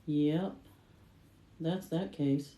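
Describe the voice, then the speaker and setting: A woman's voice: deep voice, drawn-out words, dark tone, distant mic. A woman co-hosts a casual storytelling chat in an echoey room. She sounds a little away from the microphone. Her delivery is blunt and informal, like someone recounting work to friends. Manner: blunt, informal, quotes herself. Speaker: private investigator, co-host.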